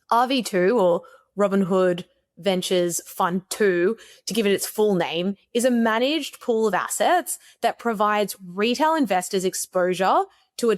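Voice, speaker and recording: A woman's voice: mid-range voice, dry recording, studio quality. A woman, hosting a podcast interview in an upbeat, enthusiastic way. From Australia. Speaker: podcast host.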